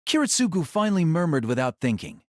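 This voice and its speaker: A man reading scripted, dramatic novel narration as an audiobook narrator, in a measured, formal way. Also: fairly high pitch, sing-song, dry recording, clean studio sound.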